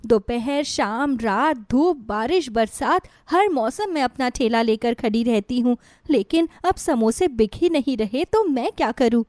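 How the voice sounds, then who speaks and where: A woman plays a poor mother from a bedtime moral tale. She speaks earnestly and chides gently, in a fairly high voice. The sound is close and dry. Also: animated, measured pace, few pauses, no echo. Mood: weary. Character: poor, gentle, weary mother who sells samosas. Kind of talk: moral-story dialogue.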